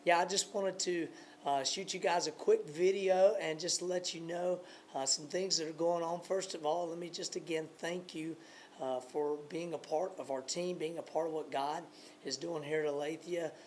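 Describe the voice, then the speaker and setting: A raspy, hoarse man in his thirties speaks earnestly to camera, still recovering his voice after a long cold. His voice sits somewhat high, and he hesitates often with fillers. A faint hiss of noise runs under the recording. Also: fairly even intonation, a little mellow. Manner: earnest, halting, many uh fillers. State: hoarse from a cold, voice partly gone. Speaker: campus church planter giving a supporter update. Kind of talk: casual video update.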